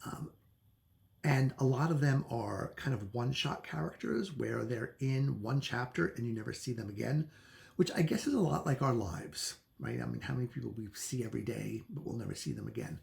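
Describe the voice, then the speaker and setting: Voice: medium pitch, moderate pace, vocal fry, a little mellow. A book reviewer talking casually and thinking aloud, chatty and opinionated, with hesitant phrasing.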